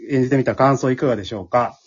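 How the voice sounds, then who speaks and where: Casual talk host, Kansai accent, husky voice, slightly dull recording. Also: mid-range voice, racing. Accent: Kansai Japanese. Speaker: host of an after-show talk. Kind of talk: casual group chat.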